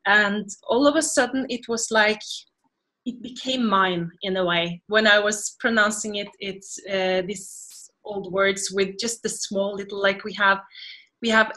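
A woman from Norway with a Norwegian accent, a guest singer answering interview questions on a video call from a plant-filled room at home, animated and laughing as she talks. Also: medium pitch, measured pace. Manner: animated, gestures a lot, laughs while talking. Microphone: video call webcam.

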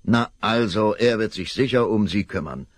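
Older guy narrating a kids radio play, deep and gravelly, dry close sound. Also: dry recording. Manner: measured storyteller. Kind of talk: scripted narration.

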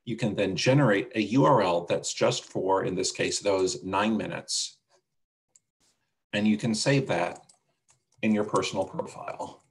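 A man presenting a casual software walkthrough on a video call, explaining things step by step. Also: on the low side, a little slow, vocal fry. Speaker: webinar presenter demonstrating a website.

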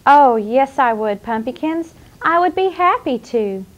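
A woman playing a sweet, nurturing clown who hosts a children's show, with a gentle, encouraging manner. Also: high-pitched, animated, takes it slowly, muffled recording. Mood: gentle, caring. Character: sweet, nurturing young female clown hostess.

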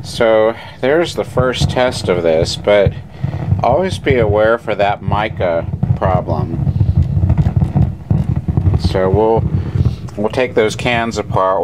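A man giving casual, matter-of-fact hands-on commentary at a workbench, a little halting as he explains, picked up by a handheld camera microphone. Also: deep voice. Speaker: radio repair hobbyist and narrator. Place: indoor electronics workbench. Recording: noisy room with radio hiss, handheld camera. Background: test radio noise and hum.

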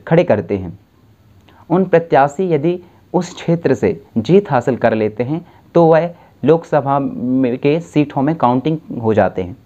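Young man teaching, mellow dull-toned voice. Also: mid-range voice. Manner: explains slowly with self-corrections and restarts. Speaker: civics tutor. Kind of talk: exam-prep lecture to camera. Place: dim indoor room, seated at a desk.

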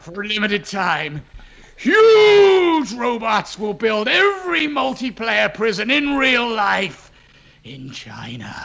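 A booming, gravelly movie-trailer announcer, as a man puts on a deep voice for a joke. The delivery is drawn out, grandiose and wildly swooping, leaping high in pitch on some words and dropping low on others. The voice carries a rough fry throughout. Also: sharp tone, dull audio, full character voice. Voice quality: gravelly, deliberately deepened, swooping and over-the-top.

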